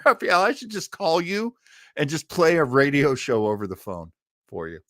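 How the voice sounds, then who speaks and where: A man's voice: fairly high pitch, wildly swooping pitch, normal speed, fairly bright tone, no echo, studio quality. A man hosting a live stream about old-time radio, chatting in a rambling, enthusiastic, theatrical way. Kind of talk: casual live stream chat.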